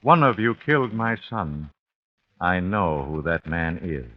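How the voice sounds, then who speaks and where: A man's voice: deep voice, sing-song, unhurried. An actor playing an older, formal, coldly polite father bent on vengeance in an old-time radio thriller. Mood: cold, menacing. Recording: muffled old radio broadcast, phone-like.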